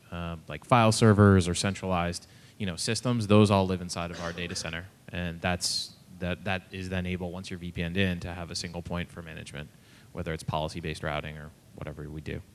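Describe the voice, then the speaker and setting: A man's voice: low-pitched, level tone, a little fast, few pauses, slight background noise. A man speaking as a conference panelist, matter-of-fact in his explanation.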